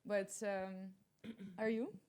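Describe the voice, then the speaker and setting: A woman's voice: mid-range voice, level tone, takes it slowly, a little mellow, smooth. A young woman from Ukraine chatting casually and asking questions as a podcast co-host. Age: young adult. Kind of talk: casual chat.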